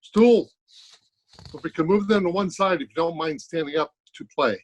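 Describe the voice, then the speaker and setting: An actor playing a polite, glib music store salesman in an old-time radio comedy, with courteous patter and deadpan jokes. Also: a little high, normal speed, close to an everyday voice, poor audio. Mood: brisk, salesy.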